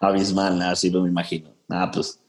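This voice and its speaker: A man from Mexico with a Mexican accent joins a podcast as a guest. His voice sits somewhat low, and he talks in a relaxed, good-humored way, full of casual slang. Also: fairly quick, crowd noise. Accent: Mexican Spanish.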